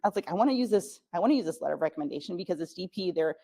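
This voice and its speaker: A woman's voice: fairly low pitch, expressive, very fast, creaky, warm tone, husky. A young woman whose first language is German, giving casual, frank advice at a scholarship workshop with plenty of fillers. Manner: chatty, frank, many fillers.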